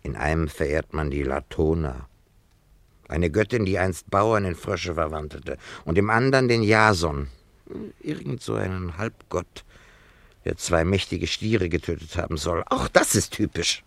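Grumpy ancient-town dentist, low gravelly voice, sardonic, clipped emphatic phrasing, radio drama, dry close sound. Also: male voice, animated, a little slow, a bit bright, no room sound, full character voice. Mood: disdainful. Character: irritable, wine-loving male dentist in an ancient town. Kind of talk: German radio play, theatrical first-person narration.

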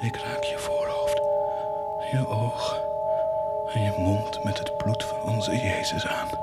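An actor playing a tormented, fervent man who defies God, in declamatory radio drama style, swinging between hushed and roaring delivery. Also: lowish voice, huge pitch swings, slow, exaggerated voice. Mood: hushed, solemn. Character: tormented, fervent man defying God and claiming divine wounds. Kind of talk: theatrical radio drama acting. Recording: cavernous long reverb under loud music.

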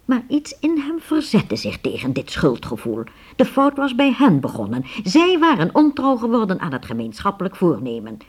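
Woman narrator, very low pitch, husky, lively intonation, dramatic radio storytelling.

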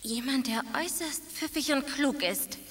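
A clever young princess, plucky and eager, with a high, bright voice. Her lines ring in a reverberant space with music underneath, like a radio play. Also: normal speed, slight background noise.

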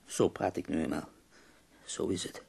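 Very deep, creaky, dying poet. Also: male voice, level tone, normal speed, darkish tone, full character voice. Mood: wry, bargaining. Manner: wry, weary, self-mocking. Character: ailing, bitterly ironic, bedridden male poet. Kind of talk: radio drama acting.

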